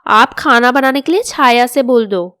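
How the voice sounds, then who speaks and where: A woman's voice: on the high side, normal speed, bright, forceful tone, smooth, no room sound, clean studio sound. A woman voicing a vain, insolent young daughter-in-law in a family moral story, haughty and cheeky.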